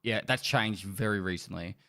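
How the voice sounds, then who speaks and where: A man with a fairly low voice hosts a casual podcast. He talks in a chatty, joking way and slips into exasperated rants. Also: moderate pace, pushed and bright. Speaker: podcast host.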